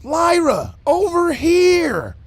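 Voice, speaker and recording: A man's voice: very high voice, big pitch swings, very slow, no echo, voice pushed up, exaggerated voice. A man voicing a nervous, flustered female pony from a storybook, frantic and pleading. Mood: urgent hissing. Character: nervous, panicky young female pony, reluctant conspirator.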